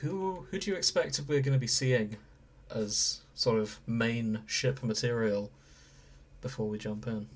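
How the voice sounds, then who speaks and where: A man hosting a casual podcast about video games, explaining in a measured way with joking asides. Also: medium pitch. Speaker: podcast host.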